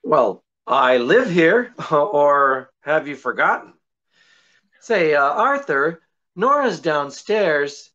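A middle-aged actor playing a suave, teasing detective with a wry, unhurried delivery in old-time radio comedy style. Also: male voice, a little high, very animated, sharp tone, heavily acted. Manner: unhurried, wry, hesitating for effect. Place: indoors, small draped room. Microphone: video call. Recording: muffled video-call audio.

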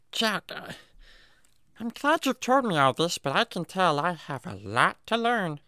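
A woman's voice: on the low side, very animated, takes it slowly, pushed and bright, dry recording, clean studio sound, exaggerated voice. A woman voicing a naive, curious, childlike talking animal in a children's radio drama, with an innocent, earnest manner. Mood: sad, earnest. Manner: innocent, earnest, baby-talk phrasing.

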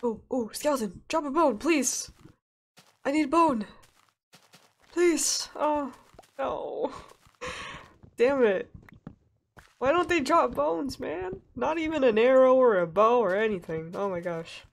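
A young woman from Sweden with a stuffy, nasal voice, chatting casually and laughing as she narrates a relaxed gameplay session. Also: sits high, takes it slowly, gravelly, pauses often. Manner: chatty, rambling, laughs often. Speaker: let's play gamer.

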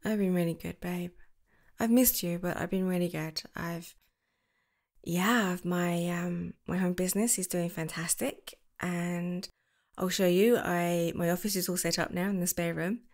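A woman performing an affectionate ASMR girlfriend roleplay, gushing and squealing by turns, then dropping into soft whispers. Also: on the low side, fairly even intonation, measured pace.

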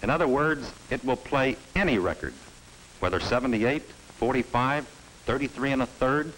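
A man's voice: a little hiss or hum, low-quality recording. Emphatic middle-aged male film presenter. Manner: measured, emphatic sales pitch. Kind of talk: scripted promotional narration. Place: studio set. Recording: old film soundtrack with faint music.

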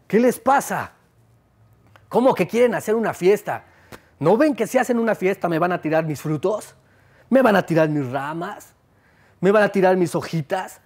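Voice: medium pitch, animated, normal speed, long pauses, darkish tone, close to an everyday voice. A storyteller voicing a proud, selfish tree that scolds and shoos the animals away. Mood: angry, scolding. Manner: scolding, shooing others away. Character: proud, selfish, bad-tempered big tree.

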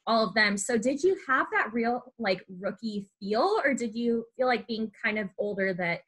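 A woman's voice: on the high side, lively intonation, moderate pace, a bit bright, husky. A woman in her twenties hosting a podcast, chatting informally and often saying "like" and "y'all". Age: 20s. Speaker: podcast host. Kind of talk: casual chatty interviewing.

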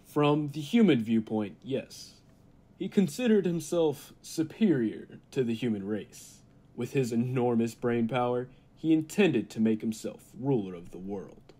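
A confident scientist played by a man with a husky, middle-pitched voice. He explains things with dramatic weight, in the style of old-time radio drama. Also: expressive, measured pace, mellow. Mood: earnest, ominous. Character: confident male laboratory scientist, eager and unflinching.

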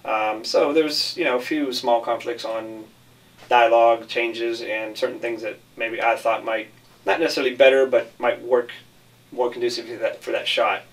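Man chatting, evenly pitched, distant mic. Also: fairly even intonation, moderate pace. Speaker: film director. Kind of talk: casual interview answers.

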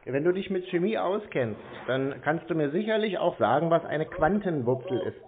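A man's voice: fairly high pitch, lively intonation, moderate pace, noisy background. A man arguing unscripted on the phone in a dry, sarcastic, needling manner. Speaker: scam-baiter talking to a scam caller. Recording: narrow, tinny phone-line sound.

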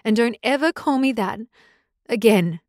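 A woman's voice: on the high side, moderate pace, darkish tone, no echo, studio quality, close to an everyday voice. A woman voicing a heartbroken young female werewolf who pleads and lashes out in tearful outbursts, in an audiobook. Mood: angry. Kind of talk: audiobook character voice.

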